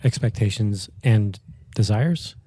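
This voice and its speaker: A man with a mellow, warm tone and a mid-range voice, co-hosting a podcast. He muses in winding, hesitant sentences, with a faint hiss behind him. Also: fairly low pitch, slow. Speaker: podcast co-host. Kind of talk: casual chat.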